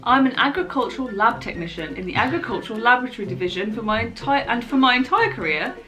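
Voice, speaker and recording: A woman's voice: fairly high pitch, expressive, fast talker, music in the background, poor audio. A playful woman reading short stories aloud in a jokey dramatic style, giggling and repeating lines. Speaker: vlogger.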